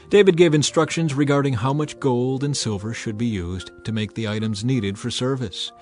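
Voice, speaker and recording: Man narrating scripture over faint music. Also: mid-range voice. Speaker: Bible narrator. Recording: music behind the voice. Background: background music.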